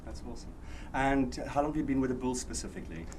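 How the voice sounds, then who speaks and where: A man's voice: medium pitch, very fast, a little mellow, slight background noise. A man hosting an onstage interview at an event, asking questions in an effusive, enthusiastic way.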